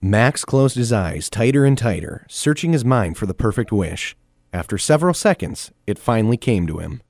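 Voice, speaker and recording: A man's voice: on the low side, very animated, normal speed, vocal fry, a little mellow, no room sound. A man narrating a scripted audio drama like a storybook, in a theatrical, playful manner.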